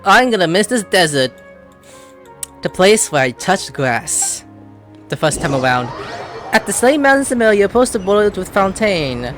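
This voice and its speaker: A woman's voice: mid-range voice, sing-song, moderate pace, long pauses. A woman giving casual playthrough commentary, reacting and talking to the game's characters. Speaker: gaming video host. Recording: game music behind the voice.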